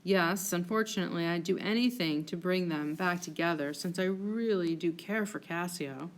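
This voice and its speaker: Woman reading a gentle, dutiful wife. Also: on the low side, moderate pace, lightly acted. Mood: sincere concern. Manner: sweet, sincere, submissive. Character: gentle, dutiful young noblewoman, wronged wife.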